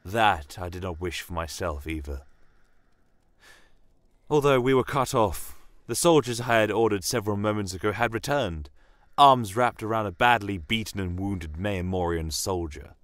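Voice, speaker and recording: A man's voice: lowish voice, expressive, normal speed, a bit bright, dry recording. A man reading scripted first-person dramatic narration for a fantasy story podcast.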